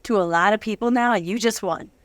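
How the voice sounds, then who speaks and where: A woman's voice: medium pitch, fast talker, no room sound. A woman hosting an interview in a studio, chatting in an effusive, upbeat way. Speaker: interview host. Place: recording studio.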